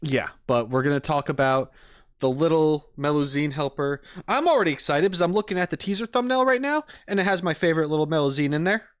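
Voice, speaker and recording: Animated, casual male streamer. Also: fairly high pitch, fast talker, clear, resonant tone, no echo, clean studio sound. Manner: animated, self-deprecating. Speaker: streamer reacting to game trailers. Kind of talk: casual rambling livestream chat.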